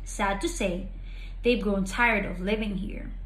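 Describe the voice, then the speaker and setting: A woman voicing a very old, gentle, lonely tree in a children's storybook, polite and wistful. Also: mid-range voice, fairly even intonation, measured pace, reverberant, natural voice, rough recording. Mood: wistful, sad.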